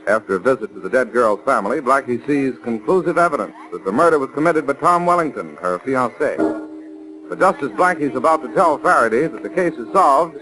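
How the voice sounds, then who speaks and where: A man narrating dramatically and deliberately as announcer of a 1940s radio mystery. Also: medium pitch, expressive, rough recording. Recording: remastered 1940s radio recording. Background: music.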